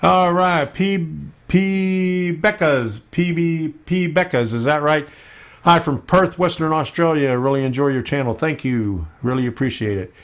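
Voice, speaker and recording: A man hosting a casual live stream about model railroading, talking slowly with many fillers as he works at his computer. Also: a little high. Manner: slow, unhurried, with many fillers while working on screen.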